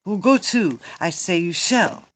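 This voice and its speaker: A woman reading the part of a courteous, earnest young lover in an amateur Shakespeare play, in a halting, declamatory way. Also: on the low side, expressive. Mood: earnest, instructing. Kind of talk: amateur Shakespeare read-through.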